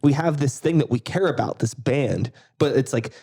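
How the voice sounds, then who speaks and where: Earnest, mellow man talking about bands. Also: medium pitch, moderate pace, slightly rough. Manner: earnest, swears casually. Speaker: band member. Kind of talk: casual chat.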